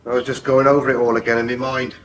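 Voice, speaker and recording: An actor playing a proud, bitter bankrupt farmer with a regional English accent in a 1980s radio soap. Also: male voice, medium pitch, flat delivery, very fast, natural voice. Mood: bitter, dejected. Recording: faintly noisy old radio broadcast.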